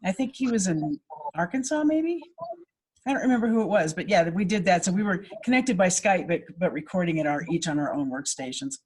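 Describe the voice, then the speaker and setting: A woman's voice: fairly low pitch, expressive, a little fast, a little mellow, slightly rough. A woman who voice acts, casually recounting her experiences in a panel chat. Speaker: amateur voice actor.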